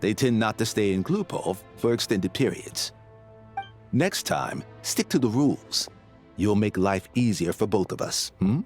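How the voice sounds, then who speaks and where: An actor playing an officious guard in a video game, with a formal, bureaucratic and condescending way of talking. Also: male voice, on the low side, expressive, a little slow, darkish tone, faint music behind. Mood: officious, dismissive. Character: officious male Fatui gatekeeper, stiff, dismissive, deferential to superiors.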